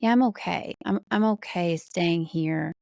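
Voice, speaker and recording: An American woman speaking as a podcast guest in casual conversation, candid and animated, often acting out little quotes. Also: lowish voice, a little slow, vocal fry, no room sound, clean studio sound.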